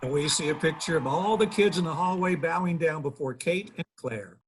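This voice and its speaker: A man's voice: on the high side, brisk, noisy background. A man narrating a script at a table read, reading out the stage directions.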